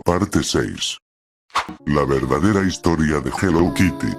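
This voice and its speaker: Extremely deep-voiced male horror announcer. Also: takes it slowly, loud music behind the voice. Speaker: announcer of section titles and narrator. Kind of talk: scripted horror narration.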